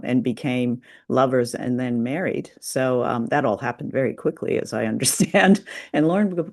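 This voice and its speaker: A man co-hosting a podcast in casual discussion, with a halting delivery. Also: medium pitch, a little mellow. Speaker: podcast co-host.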